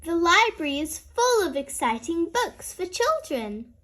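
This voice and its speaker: A woman's voice: very high-pitched, big pitch swings, measured pace, a bit bright. A woman storyteller reading aloud expressively to young children. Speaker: storyteller, host.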